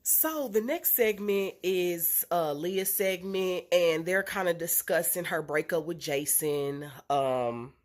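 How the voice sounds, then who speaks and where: A woman's voice: fairly low pitch, slow pace, few pauses. A young woman with a congested, nasal voice from a cold, recapping a reality show in a chatty way with lots of ums and blunt slang. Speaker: reality TV reviewer. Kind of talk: casual recap and opinion vlog.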